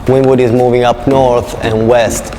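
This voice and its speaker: A man from Italy speaks English with an Italian accent and non-native phrasing. He explains things informally, as in a documentary interview, with little rise and fall in his voice. Music is clearly audible behind him. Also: mid-range voice, little pitch movement, unhurried, low-quality recording. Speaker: gallery and shop owner, interviewee.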